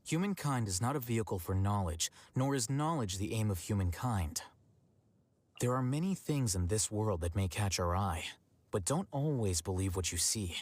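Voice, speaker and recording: An actor playing an aloof, blunt male scholar in a video game, speaking in a dry, measured, matter-of-fact way. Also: lowish voice, expressive, darkish tone, no echo. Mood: calm, philosophical. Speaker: game character. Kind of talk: scripted game character voice lines. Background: music.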